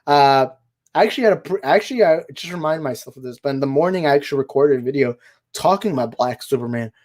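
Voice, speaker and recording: Hoarse man rambling on a livestream. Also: fairly high pitch, expressive, fast talker, a little mellow. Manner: rambling, self-correcting, laughs easily. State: hoarse, tired voice. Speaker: livestream host. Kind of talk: casual live movie chat.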